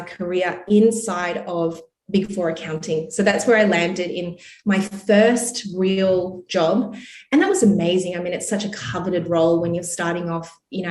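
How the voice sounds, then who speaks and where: An Australian woman in her thirties tells her story on a podcast in a relaxed, upbeat way, with plenty of "you know" and "like". Her voice sits at an everyday middle pitch. The recording has a noticeable roomy echo. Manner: chatty, upbeat, many fillers like "you know" and "like". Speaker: podcast guest, entrepreneur. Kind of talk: casual interview storytelling.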